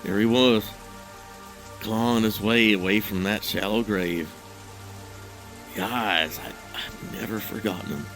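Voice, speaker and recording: An actor playing a troubled, guilt-ridden husband in an audio drama, recounting a memory in a halting, hesitant, brooding monologue. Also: male voice, on the low side, fairly even intonation, fairly bright tone, soft background music. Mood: haunted.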